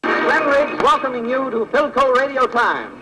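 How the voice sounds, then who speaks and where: A man's voice: very high voice, expressive, normal speed, rough recording. A man working as a radio announcer, introducing an old-time variety show over music. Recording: vintage radio transcription, music underneath.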